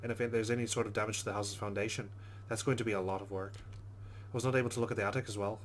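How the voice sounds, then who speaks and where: A man's voice: lowish voice, fairly even intonation, fast, a little hiss or hum. A man hosting a let's play, giving casual game commentary and reading the game's text aloud.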